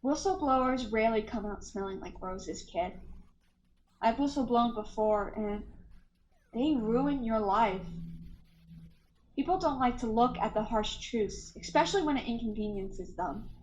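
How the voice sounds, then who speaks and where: A woman's voice: fairly high pitch, a little slow, long pauses, darkish tone, highs cut off, close to an everyday voice. A young American woman acting in a radio play as a jaded, protective scientist. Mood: weary, cautious. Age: young adult.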